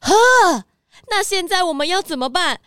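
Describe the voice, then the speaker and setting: A woman's voice: extremely high pitch, animated, measured pace, a bit bright, no room sound, studio quality, full character voice. A woman voicing a worried, chattering village woman in a children's radio drama.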